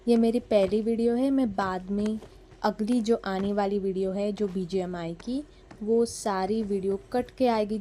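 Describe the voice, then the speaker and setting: Gaming vlogger, woman, Marathi native, Mumbai Hindi accent, mellow tone, chatty and self-deprecating voice-over. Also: mid-range voice, a little hiss or hum. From Maharashtra, India. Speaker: mobile gaming YouTuber. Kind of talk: casual voice-over commentary on her gameplay. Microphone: phone mic.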